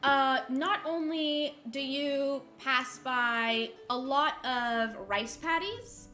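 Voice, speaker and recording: A woman narrates a tabletop game as its game master, her voice high and bright. She talks loosely, pausing and restarting often, with faint music running under her. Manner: hesitant, lots of ums and restarts while describing.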